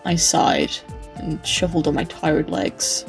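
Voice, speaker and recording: Creaky, low-voiced weary woman acting a reluctant wasteland narrator. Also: very animated, takes it slowly, exaggerated voice. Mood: weary, uneasy. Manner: tired, awkward, sighing understatement. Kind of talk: first-person scripted narration, comic dub. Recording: background music behind the voice.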